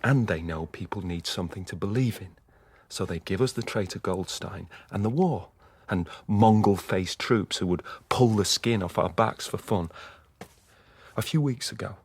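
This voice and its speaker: Haunted guy in a radio play, low gravelly voice, weary and halting. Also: no echo. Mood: bitter, despairing. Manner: slow, halting, brooding. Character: weary, haunted male dissident confiding memories and fears. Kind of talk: intimate radio drama acting.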